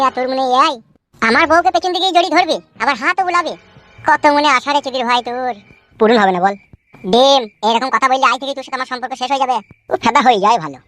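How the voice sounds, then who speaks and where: Man voicing a shrill, brash young village guy. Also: pushed and bright. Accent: rural West Bengal. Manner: brash, slangy bickering. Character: hot-headed, foul-mouthed young village man, eager to marry. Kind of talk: comic cartoon dubbing.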